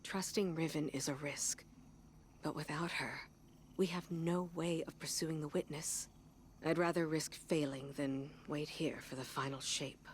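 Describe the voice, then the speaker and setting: An actress playing a solemn, weary woman officer burdened by guilt, speaking in a measured, earnest way in a video game. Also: sits low, fairly even intonation, a little hiss or hum. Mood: grave, confiding. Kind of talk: dramatic video game voice acting.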